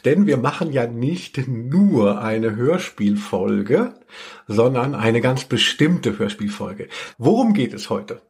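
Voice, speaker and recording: A man's voice: mid-range voice, sing-song, takes it slowly, a little mellow, breathy edge. A man co-hosting a casual comedic podcast in a playful, tongue-in-cheek way. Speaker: podcast co-host.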